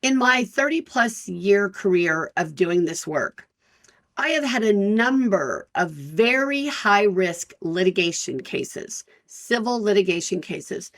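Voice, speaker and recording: A woman in her fifties, an employment lawyer hosting her own podcast, talking frankly and directly to listeners in a loud, energetic voice. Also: mid-range voice, slow pace. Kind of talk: solo podcast talk.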